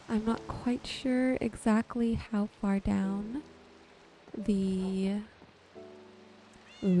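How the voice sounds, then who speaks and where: Female ASMR gaming narrator, soothing and slow-paced, medium pitch, with music and rain clearly behind her. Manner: gentle, soothing, unhurried narration. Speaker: gaming video creator. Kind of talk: ASMR gameplay commentary. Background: music and rain sounds.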